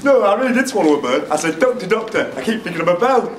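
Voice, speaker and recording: A young man playing a cheeky, silly son in a stage pantomime, excitable and joking. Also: sits high, racing. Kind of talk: Christmas pantomime comic stage acting. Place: theatre stage before an audience. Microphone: far camera. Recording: echoey theatre stage, distant noisy camera mic.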